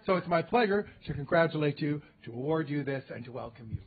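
A man presenting an award, speaking softly and haltingly with pauses. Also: a little high, lively intonation. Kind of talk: brief award remarks. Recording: tinny phone-like sound, away from the mic.

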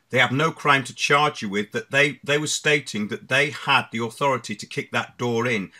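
A man's voice: medium pitch, pushed and bright. A middle-aged man hosting a current affairs show from a studio, commenting and interviewing. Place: studio desk indoors.

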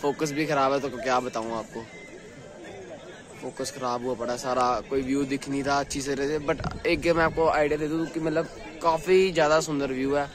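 A hoarse young man vlogging excitedly to a phone held at arm's length, outdoors at night and in a busy eatery. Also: mid-range voice, normal speed. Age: young adult. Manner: chatty, excited, talks straight to the viewer. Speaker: travel vlogger. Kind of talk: casual vlog to camera. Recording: crowd noise in the background.

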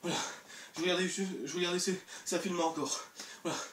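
A man's voice: sits high, brisk, noisy background. A young man coaching a home leg workout while doing it himself, counting reps and pushing viewers on between breaths. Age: young adult. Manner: energetic, encouraging, exercising while talking. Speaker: fitness youtuber. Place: bedroom at home. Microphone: camera mic from across the room. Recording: echoey room, voice from far off.

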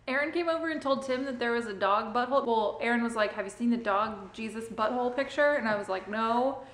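A woman's voice: on the high side, fairly quick, roomy sound, low-quality recording. A young woman chatting casually and laughing a lot while filming at home on a handheld camera. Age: young adult. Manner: giggly, chatty, excitable. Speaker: vlog co-host. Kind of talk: casual chat. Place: home kitchen and living room, indoors.

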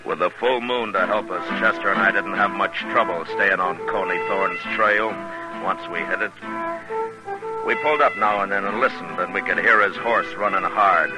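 Very deep-voiced actor as stern western marshal. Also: male voice, lightly acted, rough recording. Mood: grave narration. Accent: American. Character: stern, steady Old West marshal, also narrating. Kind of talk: 1950s radio western acting. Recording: vintage 1950s radio broadcast.

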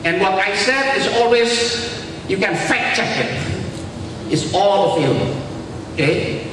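A man's voice: sits high, lively intonation, unhurried. An older man from Indonesia, speaking as a panelist at a conference and telling stories as he walks the stage with a handheld mic. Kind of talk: conference talk to a large audience. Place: large conference hall stage. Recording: echoey hall, far from the mic, noisy.

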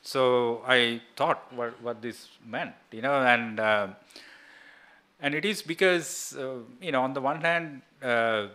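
Halting man with a bright, forceful voice. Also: medium pitch. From Sri Lanka. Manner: halting, with frequent "you know" and "right?" tags. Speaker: seminar speaker. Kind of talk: answering a question at an academic seminar. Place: small seminar room indoors. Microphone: gooseneck table microphone.

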